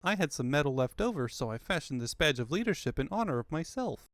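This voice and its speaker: A man's voice: on the high side, sing-song, fast, no echo. A man voicing a small, pompous genius fox boy with wordy, pedantic speech for a comic fandub. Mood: condescending. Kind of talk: comic fandub character acting.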